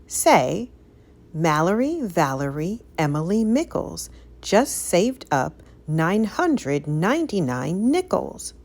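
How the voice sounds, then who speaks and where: Animated, slow-paced woman reading to children. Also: lowish voice. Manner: animated, sing-song, careful storytelling delivery. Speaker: children's story reader. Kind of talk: expressive read-aloud of a rhyming picture book.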